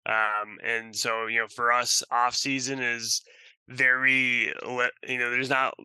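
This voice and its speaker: A man's voice: medium pitch, flat delivery, slow, sharp tone, clear, resonant tone, dry recording, poor audio. A man from Russia giving casual, rambling interview answers as a podcast guest. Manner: rambling, peppered with "you know". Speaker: podcast guest, sports franchise sales and service executive.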